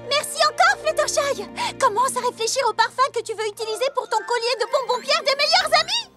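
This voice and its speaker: Eager girlish cartoon pony, very high voice, wildly animated intonation, exuberant. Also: brisk, loud music behind the voice. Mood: exuberant, excited. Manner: exuberant, gushing. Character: bubbly, excitable, eager young female pony. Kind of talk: French cartoon dub.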